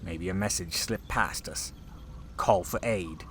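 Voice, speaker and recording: A man's voice: sits low, slow, a bit bright, a little hiss or hum. A man playing an anxious, self-important chief officer in a sci-fi audio drama, agitated and pompous. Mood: anxious suspicion. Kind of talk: full-cast sci-fi audio drama acting.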